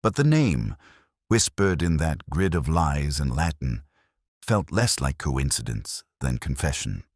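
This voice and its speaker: Very deep-voiced male story narrator. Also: very animated, measured pace, no echo, clean studio sound. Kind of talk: scripted first-person detective story narration.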